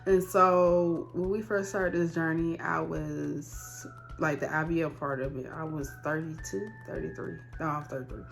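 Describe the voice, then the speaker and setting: A woman's voice: low-pitched, fairly even intonation, normal speed, creaky, soft background music. A woman in her thirties talking candidly and conversationally in a personal vlog, repeating words for emphasis. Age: 30s. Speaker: vlogger. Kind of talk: casual personal vlog to camera.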